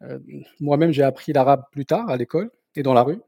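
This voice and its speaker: A man's voice: medium pitch, lively intonation, a little fast, mellow, no echo. Male guest, thirties, Moroccan, Berber mother tongue; hesitant conversational storytelling, close dry recording. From southern Morocco. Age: 30s. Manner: hesitant, repeating words as he searches for phrasing. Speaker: podcast guest, company founder. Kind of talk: casual autobiographical interview.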